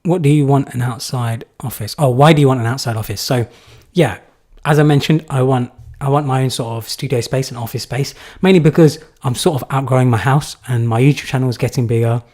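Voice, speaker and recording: Casual young man, husky voice. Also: medium pitch, a little fast, few pauses, a little mellow. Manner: casual, rambling, many fillers and restarts. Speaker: YouTube creator answering viewer questions. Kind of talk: live Q&A chat.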